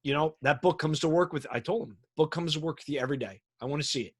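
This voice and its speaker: A man's voice: on the high side, fast talker, slightly rough. A middle-aged man in casual conversation, talking in an informal, plain-spoken way. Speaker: firefighter on a radio show. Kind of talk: casual chat.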